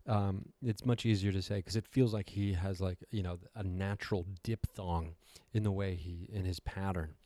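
A man with a slightly raspy voice, giving a casual, rambling talk with wry, self-deprecating asides. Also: low-pitched, steady tone, normal speed, hardly any pauses, mellow, dry recording. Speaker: host giving a talk on meditation. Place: hotel room.

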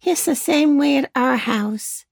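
A downcast little boy from a storybook, voiced by a woman, sighing as he talks. His voice sits high and slow. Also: gravelly, dry recording, heavily acted. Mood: glum. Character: sad little boy without Christmas.

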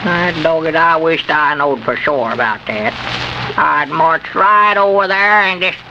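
A man's voice: a little high, animated, unhurried, creaky. An actor playing a good-natured, gullible country man with a rural Southern accent, folksy and stammering, in an old-time radio comedy style. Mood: sheepish. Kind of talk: 1940s radio comedy acting. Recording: noisy, muffled old radio recording.

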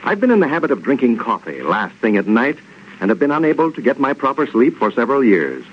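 Animated American 1930s radio announcer man. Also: medium pitch, fast talker, slightly rough. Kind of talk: 1930s radio sponsor read and scripted narration. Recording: muffled 1930s radio with faint noise.

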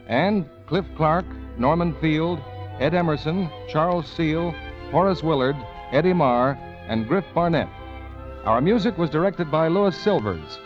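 A polished American announcer, a man with a fairly high voice, declaims scripted copy in 1940s radio style. Music plays clearly behind him, and the recording is muffled like an old broadcast.

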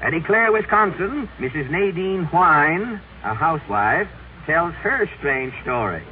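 Distant and tinny on old radio, a portentous news announcer. His high voice swings widely as he declaims clipped, mock-serious headlines. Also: big pitch swings, a little slow, slight background noise. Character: brisk, portentous male newsreel announcer.

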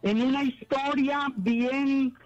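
A woman's voice: high voice, steady tone, slow pace, a little mellow, no room sound, dull audio. A middle-aged Colombian woman, a guest Bible teacher, answering an interview in an earnest storytelling way. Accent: Colombian Spanish.

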